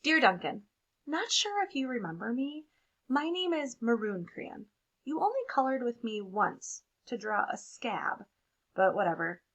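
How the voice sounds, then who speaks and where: A woman's voice: a little high, big pitch swings, a little slow, a bit bright, full character voice. A woman voicing a neglected but hopeful maroon crayon in a wry, plaintive storybook delivery. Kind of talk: expressive picture-book read-aloud.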